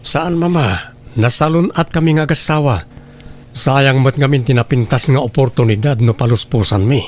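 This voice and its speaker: A man's voice: medium pitch, moderate pace, close to an everyday voice. A man with an Ilocano accent playing a proud, unbending businessman father in a radio drama, firm and dismissive. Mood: defensive, firm.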